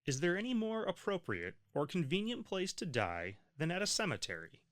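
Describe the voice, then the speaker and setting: A man reading a scripted history narration in a measured, deliberate storytelling manner. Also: high-pitched, wildly swooping pitch, a bit bright. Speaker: narrator of a history tour stop.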